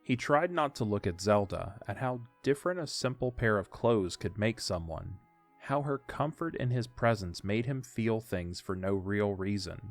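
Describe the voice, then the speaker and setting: A man narrates an audiodrama from a script. His voice sits fairly low, and soft music plays behind the story. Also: expressive, normal speed.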